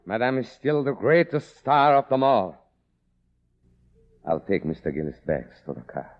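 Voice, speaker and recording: A devoted butler in an old radio drama. He speaks slowly, with solemn, formal deference. The recording is dull and vintage. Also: medium pitch, sing-song. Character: solemn, devoted manservant to a faded star. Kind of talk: 1950s radio drama acting.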